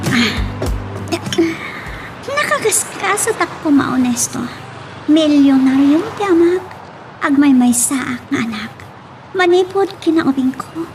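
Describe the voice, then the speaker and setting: A woman's voice: sits high, expressive, slow, long pauses, darkish tone, soft background music. A woman with an Ilocano Filipino accent acting a scheming, lovelorn young woman in an emotional romance drama. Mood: wistful. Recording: distant mic with faint noise.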